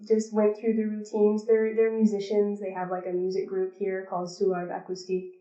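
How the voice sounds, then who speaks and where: A woman's voice: medium pitch, completely flat delivery, brisk, dark tone. Woman in her 30s chatting on a call, lots of ums, dull echoey sound. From Pacific Northwest, US. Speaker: guest, behavior analyst. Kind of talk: casual interview talk. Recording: lo-fi, roomy call audio.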